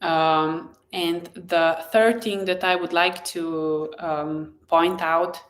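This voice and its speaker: A woman who is a native Slovenian speaker, hosting a casual live chat in a gentle, encouraging and effusive way, often pausing mid-thought. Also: fairly low pitch, steady tone, slight background noise. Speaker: live stream host and blogger.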